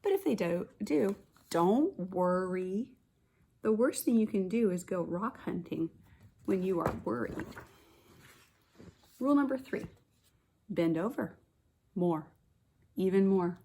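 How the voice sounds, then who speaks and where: A woman kindergarten teacher reading a picture book aloud, with expressive stressed words and chatty asides to the children. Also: medium pitch, takes it slowly, gravelly, pauses often, a little hiss or hum.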